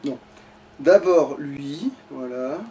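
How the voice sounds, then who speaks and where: A man's voice: mid-range voice, very slow, dark tone. A man doing casual, rambling gameplay commentary, swearing and sounding exasperated. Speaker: let's play gamer. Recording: distant mic with light background noise.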